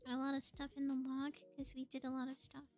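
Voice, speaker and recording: High-voiced woman livestreaming a game. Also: monotone, quick pace, a little mellow, soft background music. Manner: chatty, thinking aloud. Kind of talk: casual gameplay commentary, reading game dialogue aloud.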